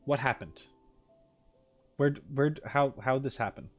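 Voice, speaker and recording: A man's voice: mid-range voice, slow pace, faint music behind. A man chatting casually as a streamer to a live audience, rambling and playful. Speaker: streamer reacting to game content.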